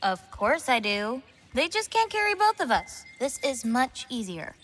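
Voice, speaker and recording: Teenage giantess: gentle, self-reliant, high and animated voice, natural conversational game acting. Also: female voice, a little slow, faint music behind, heavily acted. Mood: encouraging.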